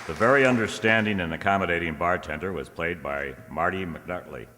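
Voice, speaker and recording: A man announcing from a script in a deliberate, theatrical style. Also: deep voice, faint music behind. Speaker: announcer reading cast credits. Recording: noisy echoey hall, loud crowd behind.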